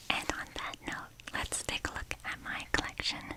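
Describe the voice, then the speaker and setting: A young woman hosting an ASMR video in a breathy, unvoiced whisper, rambling casually with a self-deprecating manner. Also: moderate pace.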